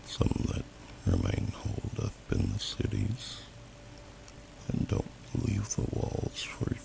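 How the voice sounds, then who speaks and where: A man voicing a gentle, wise old male dragon in slow, formal, archaic speech for an ASMR fantasy roleplay, with a growling, breathy voice. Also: very animated, full character voice. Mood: calm, musing. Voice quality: very deep, gravelly, breathy growl. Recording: noisy amateur home recording.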